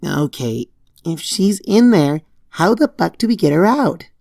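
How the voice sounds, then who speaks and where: A woman's voice: lowish voice, lively intonation, a little slow, vocal fry, darkish tone, dry recording, clean studio sound, exaggerated voice. A woman voicing a grave, worried female pony in a dramatic horror fan fiction reading. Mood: uneasy.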